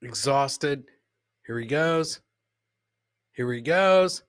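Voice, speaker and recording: A man hosting a live comedy podcast, casually reacting to funny clips in a rambling, jokey, animated way and repeating his catchphrases. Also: medium pitch, extremely slow, dry recording, studio quality.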